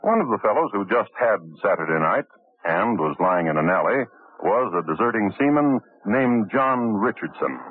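A man's voice: on the low side, husky. A man narrating an old radio crime drama in a dry, sardonic way. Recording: tinny old radio broadcast with music.

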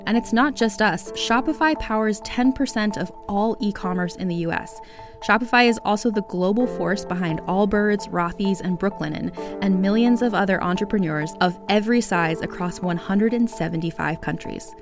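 A woman's voice: medium pitch, moderate pace. A woman reading scripted promotional narration and ads for podcasts. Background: music bed.

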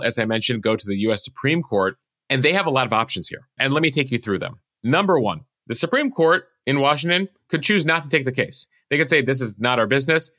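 An American man delivers a conversational news breakdown in a bright, forceful voice at a middling pitch. He explains each point methodically, like a podcast host guiding listeners through it. The sound is dry and close on the microphone. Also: no echo.

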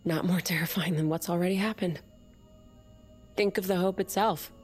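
Rebel leader, lowish female voice, defiant, cynical, audio drama, music behind. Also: fairly even intonation, normal speed, a little mellow, breathy edge. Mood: earnest, ideological. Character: defiant woman leading an underground separatist movement, cynical idealist.

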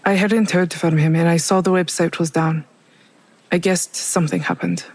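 A woman's voice: fairly low pitch, fairly even intonation, moderate pace, darkish tone. A woman playing a bitter, guarded coworker in an audio drama, terse and resigned.

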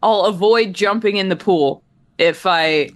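Frank woman co-host bantering. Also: medium pitch. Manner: candid, frank, quick to react. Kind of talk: sports talk show banter.

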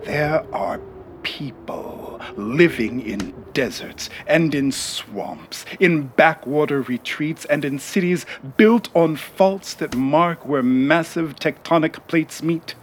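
An actor playing the grandiose, ominous narrator of a satirical sci-fi serial, speaking in a dramatic, deliberate way. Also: male voice, a little high, slight background noise. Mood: solemn, ominous. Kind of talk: theatrical scripted narration.

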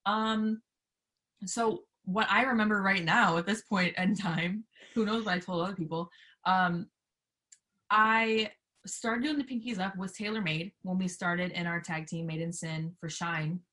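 A woman chatting casually as an interview guest, animated and playful, swearing freely as she tells anecdotes. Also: medium pitch, measured pace. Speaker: guest, professional wrestler being interviewed.

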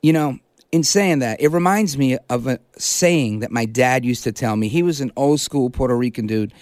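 A middle-aged man from New hosting a political talk radio show, delivering an emphatic, punchy monologue that hammers key words slowly. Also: medium pitch, a little mellow, no room sound, studio quality. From Brooklyn, New York, United States. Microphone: studio microphone.

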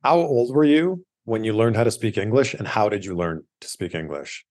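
A man's voice: lowish voice, moderate pace, a little mellow. A man with a Canadian accent hosting a casual interview, eager and complimentary in his questions. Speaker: podcast host.

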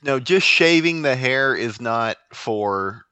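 A man's voice: mid-range voice, expressive, takes it slowly, bright, forceful tone, clean tone, dry recording. A young man on a casual drunk podcast, wisecracking and arguing his point. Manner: wisecracking, argumentative. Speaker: podcast co-host.

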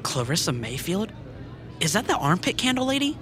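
A woman playing a chatty, excitable office coworker in an audio drama, gossipy and friendly. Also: fairly low pitch, moderate pace, crowd noise.

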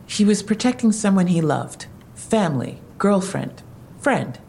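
A woman's voice: medium pitch, very animated, unhurried, creaky, a little mellow, slight background noise. An actress in an audio drama playing a skeptical, probing female police detective. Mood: skeptical, questioning.

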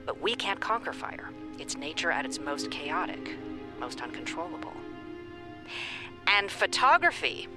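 An actress playing a composed, scholarly seminar lecturer, articulate, theatrical and probing, in an audio drama. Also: female voice, sits low, big pitch swings, unhurried, loud music behind the voice. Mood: intrigued, measured. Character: composed scholarly woman leading an online folklore seminar.

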